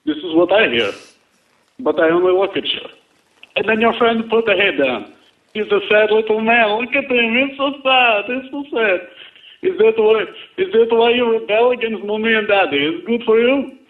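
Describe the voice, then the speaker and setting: Over a tinny, echoey line comes a man playing a boastful Soviet Russian with a thick accent. His voice is high for a man, and he taunts and threatens in broken English with repeated catchphrases. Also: moderate pace. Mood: mocking. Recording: tinny phone-like line, roomy echo.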